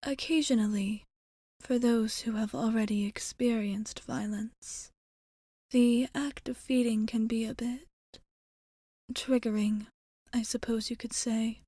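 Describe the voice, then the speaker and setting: Actress as devoted female vampire, ASMR roleplay, breathy half-whisper, mellow tone, close dry sound, formal and gentle. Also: on the high side, dry recording. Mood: soothing, apologetic. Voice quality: soft, breathy, often whispering. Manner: formal, old-fashioned politeness, unhurried.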